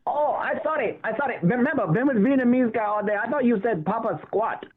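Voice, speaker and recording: A man playing a cheeky, deadpan man from Baghdad who speaks mock broken English, on a phone line. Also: very high-pitched, fairly quick. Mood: joking. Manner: deadpan joking, broken phrasing.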